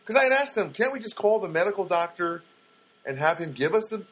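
A man's voice: sits high, big pitch swings, fairly quick, fairly bright tone, rough recording. A middle-aged man casually telling a rambling, wry personal story and acting out what people said. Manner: rambling, wry, acts out quoted lines.

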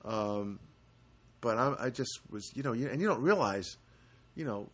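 A man hosting a casual solo podcast from a studio apartment, rambling and halting with many fillers. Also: medium pitch, animated, a bit bright, breathy edge. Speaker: podcast host.

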